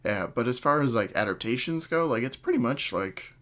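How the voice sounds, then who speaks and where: A man hosting a casual podcast chat, talking in a halting, hedging way as he thinks aloud. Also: medium pitch, animated, a bit bright. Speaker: podcast host.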